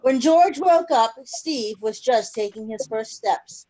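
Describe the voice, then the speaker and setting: High-voiced woman reading a storybook, noisy recording. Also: slow. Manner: expressive storytelling with questions to listeners. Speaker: children's story-time reader. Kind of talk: reading a picture book aloud to children. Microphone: video call. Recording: noisy video call recording.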